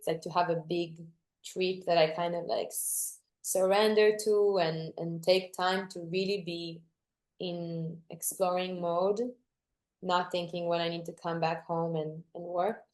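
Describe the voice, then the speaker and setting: A young woman from Greece, a dancer, talking reflectively in an interview, often restarting and searching for words. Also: fairly low pitch. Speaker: guest dancer.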